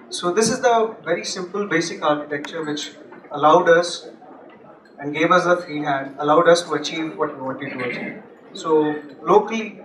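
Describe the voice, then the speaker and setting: A young man giving a technical talk at a meetup, halting with many restarts and fillers, on a handheld mic in a large office room. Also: fairly high pitch, fairly bright tone. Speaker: conference speaker. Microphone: handheld mic, far camera. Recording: echoey room from far off, noisy.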